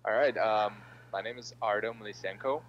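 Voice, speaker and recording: Low-voiced young man, American accent, dull recording. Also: normal speed. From Russia. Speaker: interviewee, political science master's student. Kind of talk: casual interview answers. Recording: dull lo-fi sound with faint hum.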